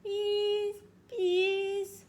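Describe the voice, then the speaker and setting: A woman voicing a small, excitable baby bear in a storybook, eager and childlike, with a pleading manner. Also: very high voice, drawn-out words, voice pushed up, heavily acted. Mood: excited, pleading.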